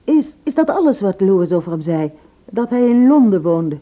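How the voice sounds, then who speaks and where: An actress playing an inspector's concerned, inquisitive sister in a radio drama, asking questions in a slightly halting way. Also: female voice, medium pitch, very animated, lightly acted. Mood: surprised, curious. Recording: slightly muffled old radio recording.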